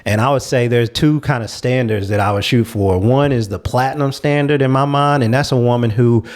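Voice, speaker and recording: A man speaks as a panelist on a talk show. He is agreeable and candid, laying out his points at length in a mid-pitched voice. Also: fairly quick, a little mellow.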